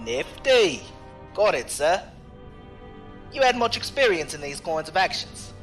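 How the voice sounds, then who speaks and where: An actor playing a breezy, flippant marine in a science fiction audio drama, talking informally. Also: male voice, high voice, very animated, measured pace, a bit bright, heavily acted. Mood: casual, game. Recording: music behind.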